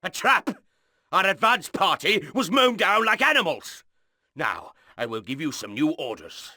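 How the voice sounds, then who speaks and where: An actor playing a gruff, hot-headed medieval knight with an emphatic, forceful manner in a video game. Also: male voice, fairly high pitch, very animated, unhurried, no echo, studio quality, exaggerated voice. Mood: alarmed, indignant. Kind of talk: video game briefing acting.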